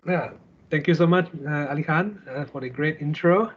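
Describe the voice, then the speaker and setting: A man's voice: fairly high pitch, normal speed. A man from Sarawak with a Malaysian accent, giving an informal, conversational slide talk as a guest speaker on an online call. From Kuching, Sarawak, Malaysia. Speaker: guest speaker, startup founder. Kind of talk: casual slide presentation. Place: online video call with screen sharing. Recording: dull lo-fi online call audio.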